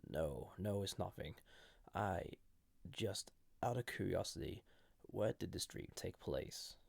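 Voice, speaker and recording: Male actor as banished ancient entity for intimate audio roleplay: very gravelly, unhurried, hesitant, flirty with a dangerous edge. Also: low-pitched, lively intonation, no room sound, full character voice. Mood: unsettled, probing. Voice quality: gravelly, husky, breathy. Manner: hesitant, softly intimate, deliberate. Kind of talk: scripted audio roleplay addressed to listener.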